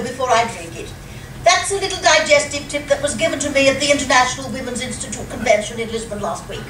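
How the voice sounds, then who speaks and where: A woman playing a grand, snobbish titled lady in an amateur radio comedy, posh, affected and gushing. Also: high-pitched. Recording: noisy, echoey live room, distant mic.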